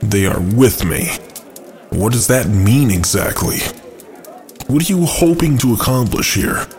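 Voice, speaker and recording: A man playing a brooding, cunning angel who plots rebellion, speaking in a measured, musing way in an audio drama. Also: mid-range voice, animated, darkish tone, faint music behind, natural voice. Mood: sceptical.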